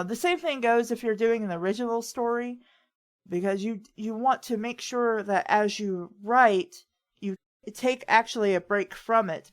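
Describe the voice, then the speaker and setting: A woman chatting casually as a host, giving informal advice and personal anecdotes. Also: mid-range voice. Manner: informal, anecdotal, occasionally profane. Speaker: host of a writing advice talk.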